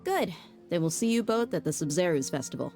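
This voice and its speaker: An actress playing a calm, matter-of-fact informant giving news in a game cutscene. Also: female voice, lowish voice, fairly quick, faint music behind. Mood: polite.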